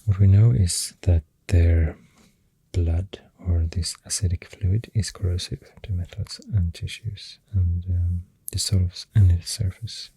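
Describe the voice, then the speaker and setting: A soft-spoken ASMR host with a Swedish accent, talking in a hushed, breathy, partly whispered voice, halting and gentle, close to the microphone. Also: sits low, fairly even intonation, dry recording.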